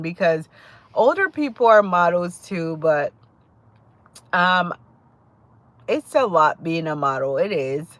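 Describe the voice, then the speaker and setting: A woman's voice: lowish voice, no room sound. A woman from Jamaica with a Jamaican accent, chatting casually with viewers on a live stream, greeting them by name and laughing often. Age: adult. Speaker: livestream host.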